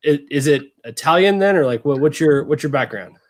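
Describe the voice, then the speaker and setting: A man hosting a casual online talk show, relaxed and joking, with plenty of fillers. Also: on the high side, fairly quick. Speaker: podcast host. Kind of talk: casual chat.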